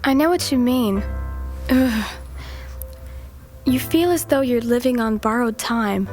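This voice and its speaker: A woman playing a chatty, girlish young woman in an audio drama, expressive in her delivery. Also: a little high, slow pace, faint music behind. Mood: sympathetic.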